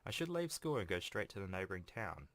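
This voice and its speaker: A man's voice: low-pitched, sing-song, fast, a bit bright, no room sound. A young man reading a visual novel aloud as a let's play host, stumbling at times and breaking off into casual asides. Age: young adult. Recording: voice over game music. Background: game music.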